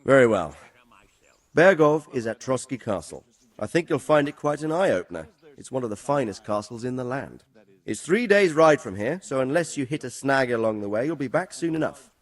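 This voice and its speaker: An actor playing a middle-aged, protective noble father who gives measured, instructive advice in a game. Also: mid-range voice, big pitch swings. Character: protective, wise noble father advising his son. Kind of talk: dramatic game cutscene acting.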